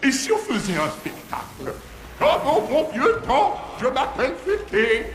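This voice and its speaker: A man's voice: extremely high pitch, wildly swooping pitch, full character voice. A man playing a wicked, theatrical puppet-master monster in a French cartoon, mocking and grandiose, gloating with menace. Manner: mocking, grandiose, drawn-out delivery. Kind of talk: animated cartoon villain acting, French dub. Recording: roomy echo, music underneath.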